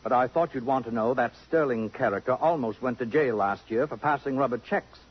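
A suave, sardonic man of society as played by a male actor in a vintage radio drama. His diction is arch, precise and pompous, at an ordinary male pitch but slightly husky. The old broadcast sound is muffled. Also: fairly quick, natural voice. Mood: insistent, cutting. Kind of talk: 1950s radio drama acting.

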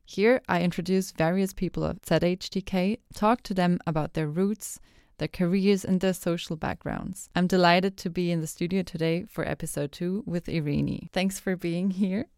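A woman hosting a podcast interview in a studio, calmly asking open questions. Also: on the low side, moderate pace, clear, resonant tone, no echo. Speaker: podcast host. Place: recording studio.

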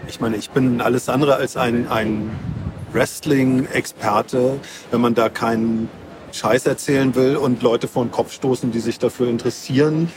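A man speaks as an interviewed comic creator in a mid-range voice. His delivery is casual and explanatory, with colloquial phrasing, over clear urban background noise. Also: mid-range voice, steady tone, normal speed.

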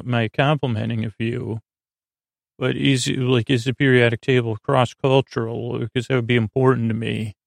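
A man with a gravelly, fry-heavy voice hosts a sleep podcast in a close, dry recording. His pitch stays quite flat as he meanders through his monologue. He halts, stammers and repeats fragments of words as he goes. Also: no room sound. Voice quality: creaky, gravelly. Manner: meandering, halting, stammering, repeats and trails off mid-word. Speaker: podcast host.

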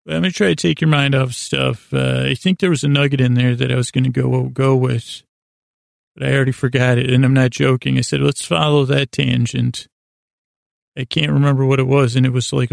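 A man with a gravelly voice hosting a bedtime podcast, talking in a hesitant, meandering way, stumbling over words and drifting off into tangents to lull listeners to sleep. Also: mid-range voice, flat delivery, fairly quick, dry recording, clean studio sound. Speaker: podcast host. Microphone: close studio mic.

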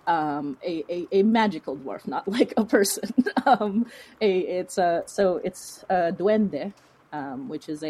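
A woman from the Philippines telling stories casually as an interview guest, in an animated, chatty, self-interrupting way. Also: on the low side, husky. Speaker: podcast guest, fiction podcast creator. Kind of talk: casual conversational storytelling.

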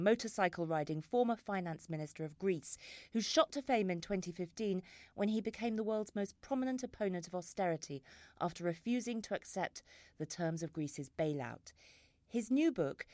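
A woman podcast host reads a measured, scripted introduction. Her voice sits low and sounds close and dry. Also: no echo. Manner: clear, measured, read from a script.

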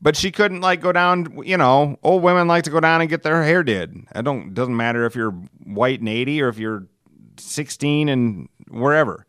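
A middle-aged man with a smooth, clear voice, recorded close and dry. He hosts a podcast as a loose, rambling storyteller. His manner is folksy and salty, with self-deprecating humor. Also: a little high, normal speed, a bit bright, no room sound. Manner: chuckles while telling stories. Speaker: podcast host.

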